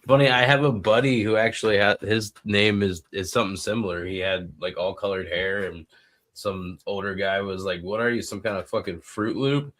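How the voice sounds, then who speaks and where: A man co-hosting a podcast, chatting casually in an informal, low-key manner. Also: moderate pace, fairly bright tone. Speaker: podcast co-host. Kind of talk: casual chat.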